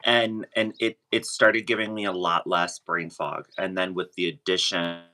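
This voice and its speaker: Male guest, very low but bright, forceful voice, casual and halting conversational delivery. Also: fairly even intonation. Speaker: podcast guest.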